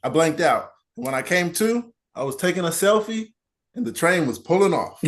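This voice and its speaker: A man's voice: a little high, sing-song, normal speed. A man in casual podcast chat, telling his own stories in a laid-back way and giving short agreeing replies.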